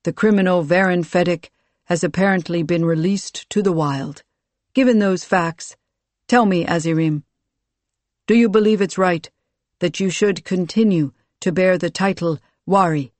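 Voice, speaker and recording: Narrator doing big booming ruler guy, formal and imperious, audiobook character, really dry close sound. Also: moderate pace, no echo, lightly acted. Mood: stern, imperious. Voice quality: booming, loud. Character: towering ageless military ruler, booming baritone, commanding, teasing.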